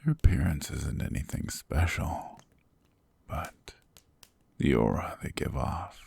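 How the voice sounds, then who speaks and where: A man voicing a cold, sadistic, possessive demon king in an ASMR roleplay, talking slowly and mockingly in gravelly whispers close to the mic. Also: rumbling low, lively intonation, darkish tone, heavily acted. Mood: cold disdain. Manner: slow, mocking, condescending. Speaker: voice actor.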